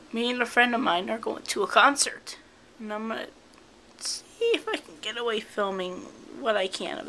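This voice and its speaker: Young woman vlogger, a bit high, unhurried, sounds like she has a cold, close handheld camera, faint hiss. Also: rough recording. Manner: soft, slow and chatty, cooing at her cats. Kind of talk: casual home video chat. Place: bedroom at home.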